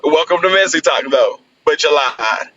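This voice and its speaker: A man hosting a live stream, keeping order in a firm, steady way and repeating his phrases for emphasis. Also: a little high, moderate pace, no room sound. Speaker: host. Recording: dull lo-fi stream audio with faint noise.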